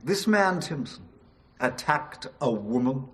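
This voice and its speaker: An actor playing a pompous, fussy judge in a radio drama, speaking in an affronted manner. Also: male voice, medium pitch, very animated, takes it slowly. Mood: appalled.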